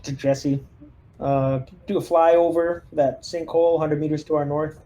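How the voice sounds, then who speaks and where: A man's voice: fairly high pitch, flat delivery, unhurried, warm tone, poor audio. A man chatting casually as he plays a tabletop roleplaying game, giving orders while thinking aloud. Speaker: player leading a tabletop game squad.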